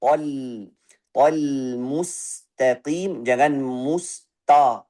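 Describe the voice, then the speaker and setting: A man teaching Quran recitation, correcting pronunciation by voicing the wrong and right sounds in turn and repeating short syllables slowly. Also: fairly high pitch, dark tone. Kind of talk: tajwid lesson with spoken recitation examples.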